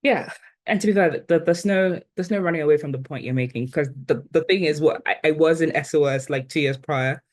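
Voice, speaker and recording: A woman's voice: low voice, fairly quick, darkish tone. Reflective young woman, mixed Ghanaian-British accent. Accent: blend of Ghanaian and British, now neutralized. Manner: thoughtful, with many "like" and "um" fillers. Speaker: guest. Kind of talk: reflective interview conversation.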